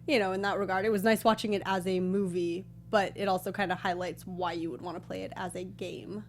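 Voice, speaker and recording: A woman's voice: on the low side, steady tone, a little fast, a little hiss or hum. A woman co-hosting a film review show, talking in a casual, conversational style. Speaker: co-host of a movie review show.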